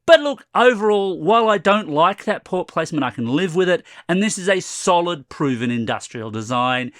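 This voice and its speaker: A slightly hoarse man in his forties giving a chatty, wry tech review to camera from a room at home. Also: high voice, lively intonation, moderate pace, fairly bright tone, dry recording, clean studio sound. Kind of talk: casual product review to camera.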